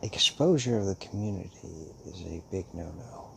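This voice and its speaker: Guy doing an old vampire mentor for audio roleplay, low creaky voice, calm n fatherly, lots of thoughtful pauses, bit of noise behind him. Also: full character voice. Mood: pensive, grave. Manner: hesitant, musing, speaks in pauses. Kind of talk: intimate audio roleplay addressed to listener.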